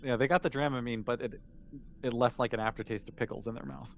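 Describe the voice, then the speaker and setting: A man's voice: mid-range voice, steady tone, quick pace, gravelly. Male game master, middle register, easygoing informal game running, some faint background noise. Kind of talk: casual game mastering, calling for rolls.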